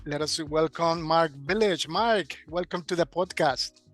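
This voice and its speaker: Higher-voiced male podcast host, halting delivery. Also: fairly bright tone, soft background music. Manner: halting, hesitant delivery with repeated words. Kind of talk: hosting an online interview.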